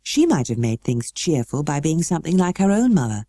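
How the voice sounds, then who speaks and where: A British woman reading a storybook as narrator, in a measured and expressive way. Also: low-pitched, no echo, studio quality. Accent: British English. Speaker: audiobook narrator.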